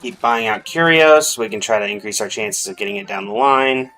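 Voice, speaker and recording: A man's voice: lowish voice, expressive, a little fast, pushed and bright. A man giving casual gameplay commentary as a streamer, half-muttering his thoughts aloud to himself. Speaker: game streamer. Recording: music behind the voice.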